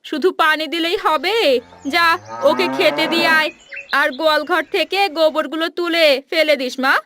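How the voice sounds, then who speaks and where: A woman's voice: high voice, fairly even intonation, moderate pace, noisy background, natural voice. A woman voicing a nagging, exasperated village housewife and mother in a cartoon fairy tale, scolding and complaining. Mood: impatient.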